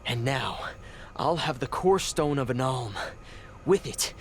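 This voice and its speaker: An actor playing a driven, scheming ritual caster in a fantasy video game, with a measured, assured, explanatory delivery. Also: male voice, mid-range voice, lively intonation, noisy background. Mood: coldly revealing. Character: driven, scheming adult male ritual caster, outwardly composed. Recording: music under the voice. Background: game music.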